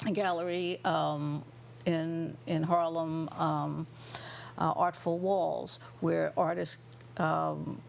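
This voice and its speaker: Female interview guest, low gravelly voice, slow and reflective, faint noise underneath. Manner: slow and thoughtful, many fillers. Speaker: guest artist and writer.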